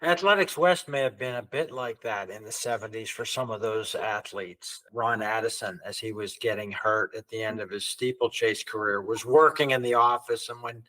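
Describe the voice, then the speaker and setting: Bright-voiced podcast host. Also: male voice, lowish voice, normal speed, few pauses, poor audio. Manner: asks questions, brief agreeing replies. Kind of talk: casual interview chat.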